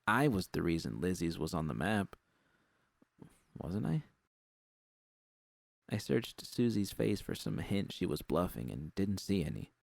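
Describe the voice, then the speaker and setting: A man with a deep, gravelly voice reading first-person fiction as scripted narration. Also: sing-song, measured pace, long pauses, no echo, clean studio sound. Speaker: audiobook narrator.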